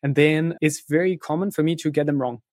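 A man's voice: a little high, steady tone, a little fast, a little mellow, no echo, clean studio sound. A man speaking as an interview guest, explaining things step by step in conversation and often checking in with "right?" and "you know". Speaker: interview guest, content writer who interviews experts.

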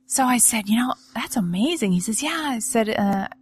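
A chatty woman co-hosting a relaxed podcast, winding through a long story. She laughs often, trails off and restarts her sentences. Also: a little high, moderate pace. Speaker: real estate agent and podcast host. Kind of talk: casual chat.

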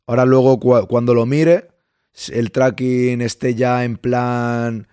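Hoarse Spanish man chatting live. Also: medium pitch, steady tone, slow pace, mellow, dry recording, studio quality. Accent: Spain Spanish. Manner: chatty, greets chat members by name. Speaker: livestream host. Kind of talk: casual live chat with viewers.

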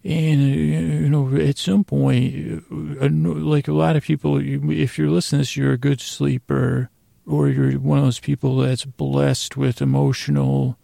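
A man hosting a podcast with a rambling bedtime monologue, meandering, halting and circling back on his own sentences. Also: medium pitch, little pitch movement, vocal fry, warm tone, dry recording, studio quality. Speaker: podcast host.

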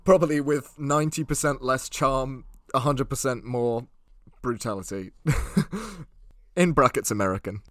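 A man's voice: medium pitch, measured pace, a bit bright, dry recording, clean studio sound. A man co-hosting a podcast in casual banter, swearing and teasing his friends. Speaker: podcast co-host.

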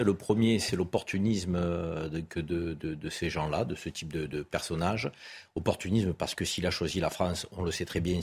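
A man's voice: low-pitched, a little mellow, breathy edge. A man arguing insistently as a panel commentator in a heated studio debate. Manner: insistent, argumentative.

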